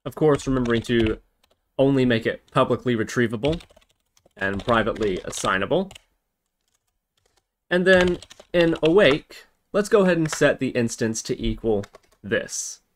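A man hosting a software tutorial, explaining methodically, step by step. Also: medium pitch, animated, normal speed, pauses often. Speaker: tutorial host. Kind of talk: scripted-feeling coding tutorial narration. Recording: noisy, with keyboard typing and clicks. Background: computer keyboard typing and mouse clicks.

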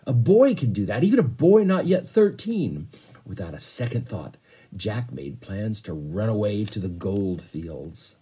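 A man in his fifties reading a children's novel aloud expressively, pausing to explain it to a class. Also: fairly low pitch, very animated, dark tone, slightly rough. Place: small bedroom, indoors.

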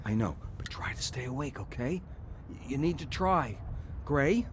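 Actor playing an earnest, anguished brother. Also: male voice, mid-range voice, expressive, a little hiss or hum, natural voice. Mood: tender, worried. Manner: earnest, halting, emotional. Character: anguished, repentant brother narrating his blizzard ordeal. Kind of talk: radio drama acting and narration.

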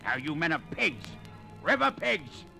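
A man playing a brutal, domineering boss of log drivers, barking orders with a gravelly voice in a 1950s radio drama. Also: fairly high pitch, big pitch swings, a little slow, pushed and bright, soft background music, exaggerated voice. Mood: harsh, commanding.

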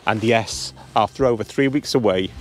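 A man's voice: fairly low pitch, normal speed. Chatty man vlogging outdoors at arm's length. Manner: chatty, talking straight to camera while walking. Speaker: travel vlogger. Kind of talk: casual vlog walk-and-talk. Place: outdoors on a cold hillside. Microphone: handheld camera at arm's length.

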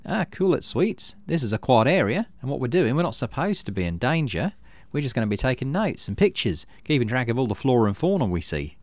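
A man's voice: medium pitch, big pitch swings, a little fast, no echo. A man voicing a breezy, protective woman chief surveyor in a visual novel, with folksy informal talk. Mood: breezy, cautioning. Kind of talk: acted visual novel dialogue.

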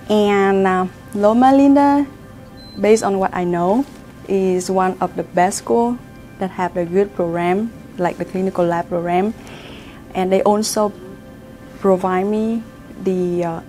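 Young woman, Vietnamese accent, halting interview answers, lapel mic, soft music behind. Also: medium pitch. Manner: halting, searching for words. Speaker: student. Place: university lab building, indoors.

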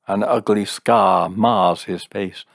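Man reading as a slow, portentous fortune-telling seer. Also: lowish voice, steady tone, vocal fry, no echo, clean studio sound, full character voice. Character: solemn, dogged, mystical fortune-telling seer, male. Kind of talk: dramatised storybook reading.

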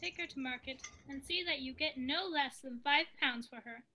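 A woman's voice: high voice, moderate pace, bright, forceful tone. A woman playing a bossy, scolding mother in a radio play over a video call. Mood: nagging.

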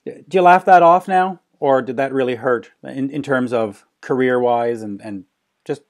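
A man's voice: mid-range voice, moderate pace. A man interviewing a musician, chatty, leading his questions and repeating words as he talks. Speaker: interviewer, rock journalist. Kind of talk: casual interview.

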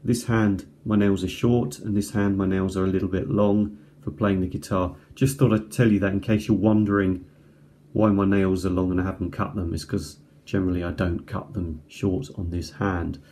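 Low-voiced man, casual, noisy home recording. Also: level tone, moderate pace, mellow. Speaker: amateur cassette tape reviewer. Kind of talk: casual unscripted hands-on review. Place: indoors at a kitchen table. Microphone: tablet's built-in mic at close range.

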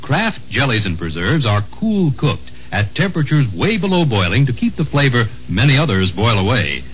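A man's voice: medium pitch, big pitch swings, normal speed, fairly bright tone, slight background noise. A man with an American accent announcing and reading adverts in a 1950s radio style. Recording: muffled old radio broadcast, faint music.

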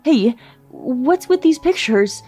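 A woman's voice: sits high, expressive, slow, mellow, faint music behind, pitched above the performer's own voice, heavily acted. A woman voicing a suspicious husband who angrily confronts his wife. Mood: angry. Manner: demanding, confrontational. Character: suspicious, angry husband, adult man.

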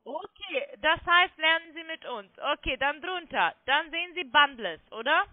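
High-pitched woman on a phone line. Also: animated, normal speed, no room sound. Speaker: phone sales agent claiming to be technical support. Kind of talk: phone sales call, step-by-step instructions.

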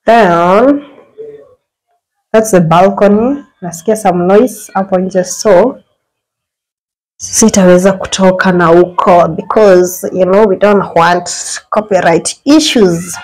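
A young woman with a Kenyan accent talks her viewers through a small apartment, filming on her phone as she walks. Her delivery is chatty and full of quick exclamations, with the sound of an ordinary indoor room around her. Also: mid-range voice, rough recording. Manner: chatty, mixes English and Swahili slang, lively exclamations. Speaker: vlogger giving a house tour. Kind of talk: casual house tour chat. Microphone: phone camera held while walking.

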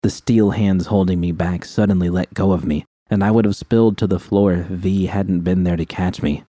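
A man reading a first-person fan story aloud in a quiet, subdued manner. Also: low voice, fairly even intonation, moderate pace, no room sound, clean studio sound. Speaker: audiobook reader.

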